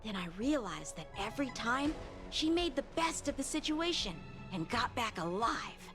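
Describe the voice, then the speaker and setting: An actress voicing a lively, candid young woman guide in a video game, speaking in a casual, expressive way. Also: on the high side, normal speed. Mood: chatty, bright. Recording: game music behind.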